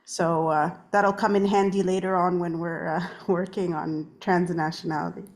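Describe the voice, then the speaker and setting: A woman's voice: lowish voice, little pitch movement, normal speed. A woman from Canada introducing herself to an online workshop, hesitant, with many "uh" and "um". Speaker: workshop co-facilitator, university administrator. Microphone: computer mic on a video call.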